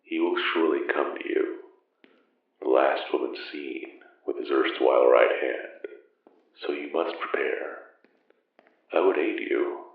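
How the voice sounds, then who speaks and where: An extremely low, gravelly voice belongs to an ancient, secretive man of magic. He speaks slowly and solemnly in formal, old-fashioned phrasing, as a mentor in an audio drama, heard muffled and echoing. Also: exaggerated voice. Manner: formal, archaic, measured phrasing. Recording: muffled narrow band, echoey, distant.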